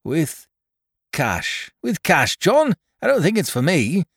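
A man's voice: on the high side, wildly swooping pitch, slow, a bit bright, slightly rough, dry recording, clean studio sound, pitched above the performer's own voice, heavily acted. A man voicing a fussy, anxious little old toymaker in a read-aloud story. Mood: anxious eager. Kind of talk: storybook character voice.

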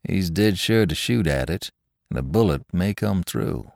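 A man doing a deep, matter-of-fact barkeeper. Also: expressive, a little slow, gravelly, darkish tone, no room sound, clean studio sound, exaggerated voice.

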